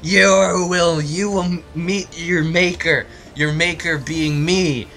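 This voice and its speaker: Man acting vengeful warrior father, grandiose, menacing, raised pitch, forceful, melodramatic storybook battle. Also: fairly even intonation, takes it slowly.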